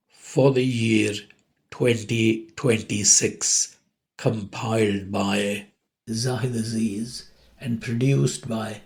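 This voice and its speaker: A man speaking in a measured, formal way, delivering a scripted invocation and introduction. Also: medium pitch, gravelly, a little mellow. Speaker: programme announcer.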